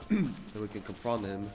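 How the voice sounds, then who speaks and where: A man's voice: on the low side, big pitch swings, takes it slowly, over music. A man giving casual commentary as he streams a video game.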